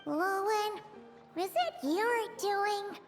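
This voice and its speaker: A tiny childlike fairy companion from a game. The voice is extremely high and squeaky, drawn out very slowly, with music clearly behind it. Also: female voice, animated, heavily acted. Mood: suspicious. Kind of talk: dramatic video game voice acting.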